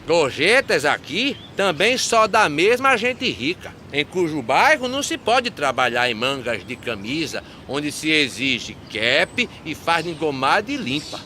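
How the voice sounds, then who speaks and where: An actor playing a grumbling, sardonic gravedigger in an animated film, declaiming rhymed verse. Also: male voice, a little high, unhurried, few pauses, a little hiss or hum, exaggerated voice. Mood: wry.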